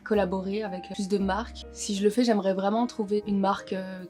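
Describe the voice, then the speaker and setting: A woman in her twenties, a singer-songwriter, answering interview questions in a relaxed, thoughtful way with frequent hesitations. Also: mid-range voice, fairly quick, soft background music. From Guadeloupe, born in Paris. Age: 20s. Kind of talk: casual interview answers to camera.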